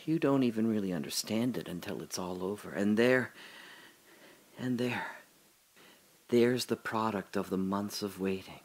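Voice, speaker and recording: An actor playing a dying older father, telling his memories in a halting, breathy dramatic monologue that drops to whispers. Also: on the low side, darkish tone. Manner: halting, breathy, emotional, many pauses and restarts. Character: dying old father recalling his daughter's birth.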